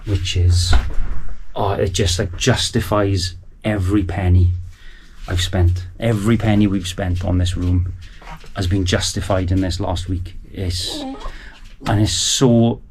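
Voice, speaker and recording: Man, forties, fairly low voice, eager and rambling, small home studio. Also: fairly even intonation, measured pace, a little mellow, poor audio. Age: 40s. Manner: enthusiastic, rambling. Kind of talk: casual chat to camera. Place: small sound-proofed garage studio.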